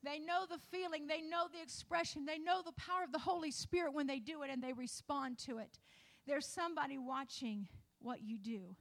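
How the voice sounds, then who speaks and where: A woman pastor preaching a sermon in a repetitive, rising cadence that builds toward shouts. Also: high-pitched, brisk, hardly any pauses.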